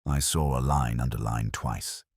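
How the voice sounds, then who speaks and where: Very deep-voiced man narrating a mystery. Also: steady tone, unhurried, a little mellow, slightly rough, no room sound, clean studio sound. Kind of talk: scripted first-person mystery narration.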